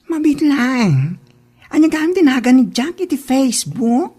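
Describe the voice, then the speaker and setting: Teasing young female best friend, played by a woman, nosy, high-voiced, highly animated, comedy drama. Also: measured pace, breathy edge, full character voice. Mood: teasing, amused.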